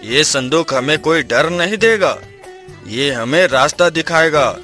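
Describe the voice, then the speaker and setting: Guy playing a poor honest village dad, slow n earnest, highish bright forceful voice. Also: expressive, faint music behind. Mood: earnest, reassuring. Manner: earnest, reassuring, solemn. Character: humble, brave, honest poor village father in cartoon.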